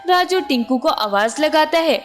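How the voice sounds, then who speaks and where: A woman's voice: high voice, expressive, moderate pace. A woman reading scripted narration for a children's moral story. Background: music.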